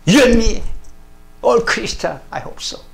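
An older man with a Korean first language preaching a sermon to camera, slow and emphatic, often calling out hallelujah, in broken English. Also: very high-pitched, lively intonation, gravelly. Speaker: pastor. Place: plain studio room, indoors.

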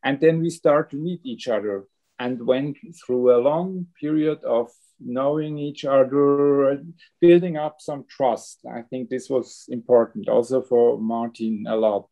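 Casting: middle-aged German-accented man, warm dark voice, easygoing, webcam audio. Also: mid-range voice, a little slow, gravelly, rough recording. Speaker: film director. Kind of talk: Q&A on a video call. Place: home room with bookshelves. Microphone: laptop webcam mic on video call.

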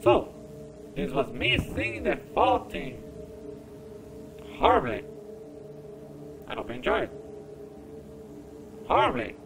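Slow-talking, creaky-voiced man playing a game. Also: very high voice, very animated, background noise. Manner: self-deprecating, halting. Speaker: gamer commentating on own gameplay. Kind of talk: casual let's-play commentary. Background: game music.

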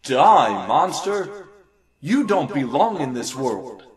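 A righteous young vampire hunter played by a man declaims in a high, measured voice. He sounds earnest and theatrical, off the mic with busy noise behind. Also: big pitch swings, distant mic, a crowd in the background. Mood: defiant. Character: righteous, defiant young vampire hunter, video game hero.

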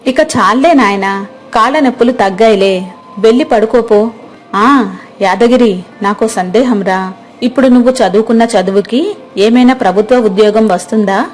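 A woman's voice: a little high, normal speed, close to an everyday voice. A woman playing a tired, caring village mother talking to her son in a storybook tale. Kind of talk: storybook character dialogue.

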